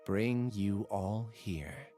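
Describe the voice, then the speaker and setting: A man playing a troubled, earnest young scholar in a video game, speaking in a measured, confessional way. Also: on the low side, dark tone, soft background music. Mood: solemn, resigned. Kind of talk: dramatic game voice acting.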